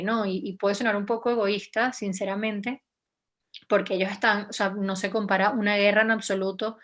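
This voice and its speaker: A young woman from Venezuela speaks as a journalist guest in an interview. Her voice sits at an ordinary middle pitch, and she pauses and restarts often as she thinks her answers through. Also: fairly bright tone. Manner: hesitant, many restarts and fillers, reflective.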